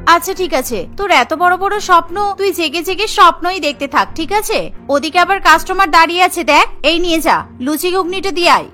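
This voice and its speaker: Woman voicing a high-pitched sensible elder sister. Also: expressive, brisk, soft background music, heavily acted. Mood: exasperated. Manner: reasoning, gently reproving. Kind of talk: cartoon story character acting.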